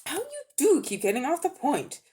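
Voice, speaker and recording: Woman voicing a pompous old magician uncle, swooping pitch. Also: huge pitch swings, normal speed, dark tone. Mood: impatient. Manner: pompous, self-important, easily affronted. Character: vain, pompous, grey-haired old uncle, an amateur magician.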